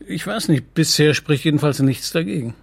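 A troubled, dogged police commissioner in a radio drama. His middle-aged voice is husky and full of creak, and he answers tersely, in a subdued, weary way. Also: fairly high pitch, expressive, moderate pace, exaggerated voice. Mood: businesslike, insistent. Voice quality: gravelly, husky. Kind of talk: naturalistic radio drama acting.

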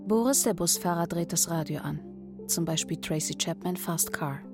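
A woman's voice: fairly low pitch, normal speed, over music, close to an everyday voice. A woman narrating a radio drama as a plain, observant storyteller. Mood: matter-of-fact.

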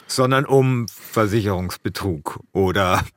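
Low-voiced man chatting casually. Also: unhurried, dry recording, studio quality. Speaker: podcast participant. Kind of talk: casual chat.

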